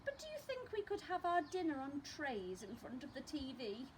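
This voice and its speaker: A woman's voice: high voice, wildly swooping pitch, normal speed, very noisy, pitched above the performer's own voice. A woman voicing a weary, mild-mannered father elephant with a tired, hesitant, polite manner in a storybook.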